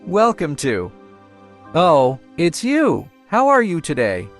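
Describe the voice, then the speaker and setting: A man's voice: fairly high pitch, huge pitch swings, slow pace, heavily acted. A man playing a caring, friendly young coffee shop owner in a romance comic dub. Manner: concerned, friendly. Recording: dry voice over faint music.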